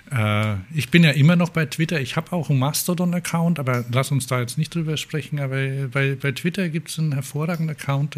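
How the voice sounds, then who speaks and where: Slow-paced man chatting casually. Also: mid-range voice, a bit bright. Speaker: podcast host. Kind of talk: casual chat, telling anecdotes.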